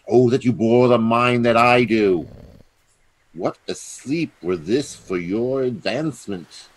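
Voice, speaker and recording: An actor playing a scheming, treacherous usurping duke in a Shakespearean radio play, theatrical and insinuating. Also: male voice, mid-range voice, slow, poor audio.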